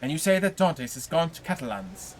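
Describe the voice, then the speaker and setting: A man's voice: on the high side, sing-song, brisk, slight background noise, pitched above the performer's own voice. Man voicing sly, scheming Danglars. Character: scheming jealous male ship's officer.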